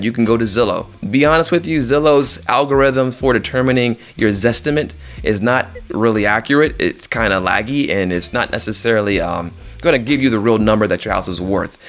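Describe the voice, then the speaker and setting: A man's voice: medium pitch, a bit bright. A man talking directly to viewers as a real estate agent, informal and conversational, repeating phrases for emphasis. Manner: conversational, addresses listeners directly.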